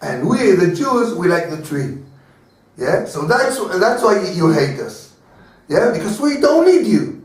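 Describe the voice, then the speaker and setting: Male rabbi, storytelling lecturer, higher voice, recorded from a distance. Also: big pitch swings, measured pace. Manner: storytelling, acting out voices in dialogue. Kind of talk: Torah class lecture. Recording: very echoey room, mic far away.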